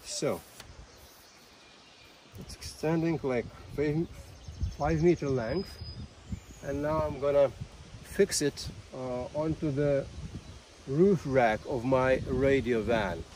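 A man gives a casual demonstration to camera in a halting way, repeating words, recorded outdoors in a field. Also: medium pitch, a little mellow, slight background noise. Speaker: ham radio vlogger. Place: outdoors in a grassy field beside a van.

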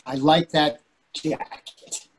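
A man's voice: a little high, steady tone, takes it slowly, a little hiss or hum. A man in his sixties playing an anxious, devoted, fussy husband in a play reading over a video call, earnest and questioning. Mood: earnest, tender. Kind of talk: readers theatre play reading.

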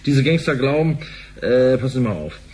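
An actor playing an insistent, suspicious former bank partner in a sci-fi radio play. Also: male voice, medium pitch, very animated, normal speed, a little mellow, slightly rough. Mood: agitated, urgent.

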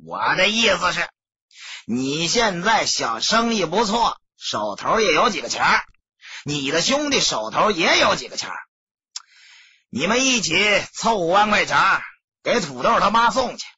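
A man voicing a menacing, extorting gang boss in an audiobook, raspy and forceful. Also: high-pitched, big pitch swings, moderate pace, dry recording, dull audio, heavily acted. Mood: coolly threatening. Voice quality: raspy, sharp. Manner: forceful, bullying.

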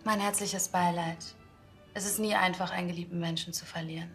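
An actress playing a polite, composed funeral parlour employee with a measured manner in a TV crime comedy. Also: female voice, on the low side, fairly even intonation, fairly bright tone, soft background music. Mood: polite, sympathetic. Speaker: undertaker's office worker.

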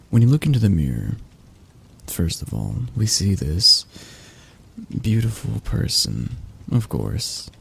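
A man playing a possessive, condescending, flirtatious mafia boss in an intimate ASMR roleplay, drawling and halting in a patronizing, domineering way. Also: fairly low pitch, creaky, husky, heavily acted.

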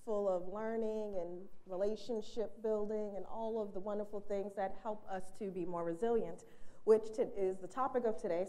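A middle-aged woman from Boston, with no Boston accent, giving a conference keynote in a direct, conversational way in a large bright room. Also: medium pitch. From Boston, USA. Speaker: keynote speaker. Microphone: handheld mic, then lapel mic.

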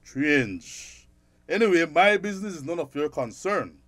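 Stern, high-voiced Belizean father. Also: big pitch swings, unhurried, a bit bright, no room sound, exaggerated voice. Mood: secretive, irritated. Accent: Belizean Creole. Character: stern, scolding middle-aged shopkeeper father. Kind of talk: radio drama acting.

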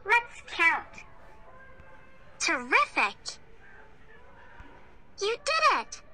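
Very high, bright childlike learning-game voice. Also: wildly swooping pitch, very slow. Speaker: voice prompts in a children's learning app. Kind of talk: scripted educational game prompts, one word or short phrase at a time. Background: music.

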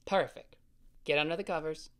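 An actor playing a caring older brother, gently soothing his little sister and telling her a bedtime story in a family audio drama. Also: a little high, fairly even intonation, normal speed, clean tone, close to an everyday voice.

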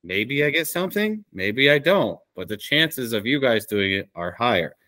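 A man's voice: mid-range voice, sing-song, normal speed, sharp tone, no room sound. A man, perhaps in his thirties, chatting casually and candidly as an interview guest, rambling a little on a video call. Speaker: podcast guest, small business woodworker. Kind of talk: casual conversation. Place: small woodworking shop, indoors. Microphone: video call microphone.

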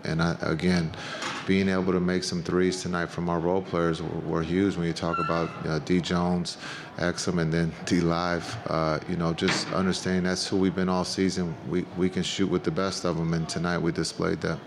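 Middle-aged man, basketball coach, low voice, monotone, measured and plain-spoken, table mic, noisy press room. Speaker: head coach. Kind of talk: postgame press conference answers. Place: press conference room with backdrop. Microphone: table microphone.

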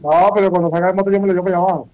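A man's voice: high-pitched, steady tone, racing, a little mellow, highs cut off, soft background music. A Venezuelan man chatting casually with other players during an online game, talking in crude, foul-mouthed slang. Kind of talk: casual gaming voice chat with slang.

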